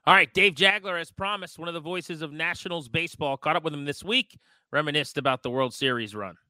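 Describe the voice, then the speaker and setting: A man's voice: a little high, brisk, sharp tone. A man co-hosting a sports show, giving conversational baseball commentary.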